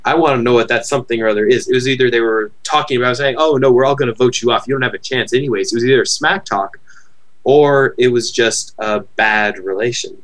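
A man co-hosting a casual podcast on a close headset mic, rambling and thinking out loud. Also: medium pitch, quick pace. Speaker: podcast co-host.

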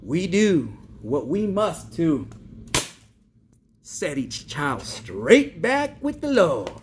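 Creepy sweet preachy old nun in her late 50s, real slow, swoops up and down, some hiss on the recording. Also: high-pitched, a little mellow, heavily acted. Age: 58 as played. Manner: sugary, preachy, folksy, self-important. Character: pompous, menacing 58-year-old Mexican nun in charge. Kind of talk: theatrical character monologue.

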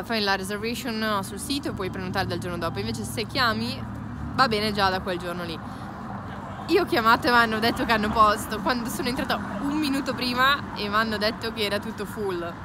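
A woman in her twenties chatting excitedly as she vlogs outdoors on city streets, holding her phone at arm's length. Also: fairly high pitch, noisy background. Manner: chatty, excited, mixes English words. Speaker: vlogger. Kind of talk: casual travel vlog. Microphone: phone held at arm's length.

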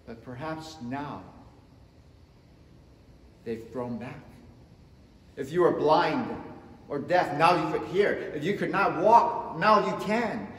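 Slow emphatic male pastor in echoey church. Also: a little high, a little hiss or hum. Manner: slow, emphatic preaching with wide swings in loudness. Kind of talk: sermon. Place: church sanctuary in front of the altar. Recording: echoey church with long reverb.

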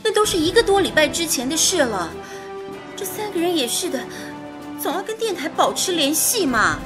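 A woman playing an impatient, easily riled young radio colleague in an expressive radio-drama style. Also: very high voice, moderate pace, music in the background. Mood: fretful.

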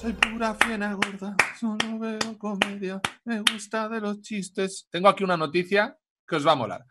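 A man's voice: high-pitched, normal speed, loud music behind the voice. A man in his thirties with an accent from Spain, hosting a casual comedy chat from home over a video call. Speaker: comedy show host.

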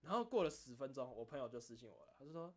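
A man's voice: medium pitch, very fast. A man with a Taiwanese accent chatting casually as an online creator, telling anecdotes animatedly, acting out both sides of exchanges and venting self-critically. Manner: animated storytelling, acting out back-and-forth exchanges. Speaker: video creator and streamer.

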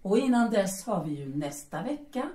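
Seductive, bossy bohemian woman actress, audio drama: mellow, very animated pitch, teasing and coaxing, echoey room. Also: close to an everyday voice. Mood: coaxing, playful. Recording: echoey room, distant from mic.

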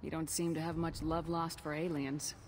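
A woman's voice: low voice, level tone, normal speed, a little mellow, slight background noise, close to an everyday voice. Woman as a composed space commander. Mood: businesslike. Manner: direct, composed, dry. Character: cool, authoritative human woman space commander. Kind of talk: video game character dialogue.

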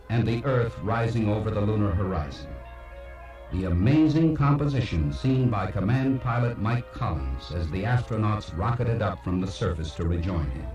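Man, formal scripted narration, mellow tone, solemn and measured, very echoey cassette playback. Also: lowish voice, distant mic. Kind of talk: scripted documentary narration for a slide show. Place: played from a cassette, heard in a room. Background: music.

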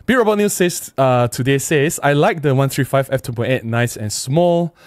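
A middle-aged man with a Malaysian accent hosts a casual livestream at a close desk microphone. His voice sits at a medium pitch, and the sound is dry with very little room. He talks conversationally, with emphasis, as he reads comments and responds to them. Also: animated, normal speed, no room sound. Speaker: livestream host. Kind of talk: casual livestream chat, reading out viewer comments and replying. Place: small indoor room at a desk. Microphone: close desk microphone with windscreen.

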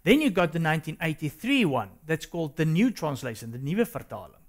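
A South African man whose first language is Afrikaans, co-hosting a religious discussion in an earnest, halting way. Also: on the high side, big pitch swings. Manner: earnest, hesitant with restarts. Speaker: co-host. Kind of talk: studio discussion.

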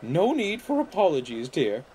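A man puts on an elderly female motor car for a children's story. The pitch is lifted and swoops about, and she comes across as cheeky, chatty and kind. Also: huge pitch swings, moderate pace, a little mellow, a little hiss or hum. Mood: kindly.

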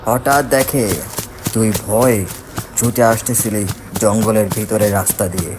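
A man's voice: on the low side, normal speed, background noise, close to an everyday voice. A man voicing a concerned male village friend in a horror cartoon, speaking in a familiar, explanatory manner. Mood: explaining. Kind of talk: Bengali horror cartoon voice acting.

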